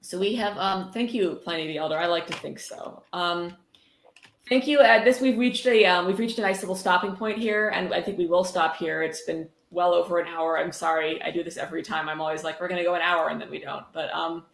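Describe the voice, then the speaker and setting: A woman's voice: lowish voice, steady tone, fairly quick, gravelly. A woman hosting a livestream, chatting casually and reading aloud in an informal, sweary way. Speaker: livestream host. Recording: roomy, echoing livestream audio.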